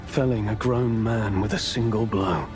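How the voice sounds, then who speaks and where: A man playing a bitter old friend in a dramatic game story, grave, measured and reproachful, over music. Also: on the low side, fairly even intonation, natural voice. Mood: bitter, accusing. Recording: music underneath.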